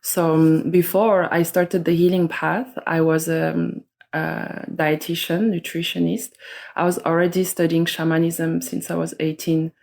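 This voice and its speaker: A middle-aged woman from Switzerland speaks as an interview guest. Her voice sits low and stays level, with little rise and fall. She talks slowly and softly, pausing often to search for her words. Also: little pitch movement, creaky. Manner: slow, soft-spoken, searching for words. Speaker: guest, somatic educator and astrologer. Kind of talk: reflective interview talk.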